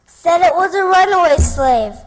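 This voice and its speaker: Eager country kid, Southern accent, piping high voice, hall reverb, radio drama. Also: female voice, lively intonation, normal speed. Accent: American Southern. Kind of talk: old-time radio theatre acting.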